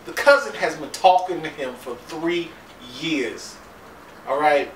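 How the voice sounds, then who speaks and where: Animated, laughing man in an echoey room. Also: fairly high pitch, measured pace. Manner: animated, incredulous, laughing, profane. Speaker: vlogger recapping a TV episode. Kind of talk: casual comedic recap. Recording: roomy, distant mic, faint background noise.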